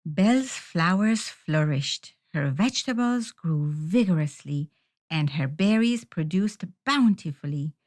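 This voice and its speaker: Slow-paced woman reading a picture book. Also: low voice. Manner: expressive, deliberate storytelling. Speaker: storyteller. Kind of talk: children's picture book read-aloud.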